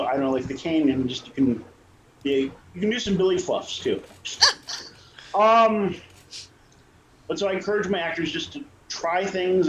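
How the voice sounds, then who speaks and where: A man speaking casually on a panel as a director, rambling and often rephrasing himself. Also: on the high side. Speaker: radio play director and troupe leader. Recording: roomy dull sound with faint noise.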